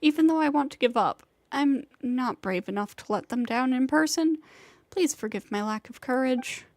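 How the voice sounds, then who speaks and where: A woman's voice: high-pitched, expressive, normal speed, no echo. A woman voicing a burnt-out, self-doubting young female flying rider in a video game, weary and bitter as she vents. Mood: frustrated, defeated. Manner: weary, venting, self-deprecating. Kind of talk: scripted video game voice acting. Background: game music.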